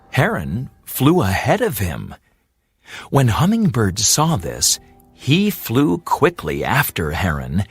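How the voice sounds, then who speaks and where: A storyteller narrating a fairy tale for English learners in a deliberate, expressive way, drawing out repeated words. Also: low voice, breathy edge, dry recording.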